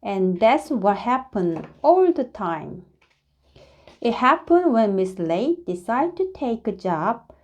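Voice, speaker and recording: A woman reading a children's story aloud in an expressive, deliberate way, phrasing it dramatically for young listeners. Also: a little high, dull audio. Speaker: storybook reader.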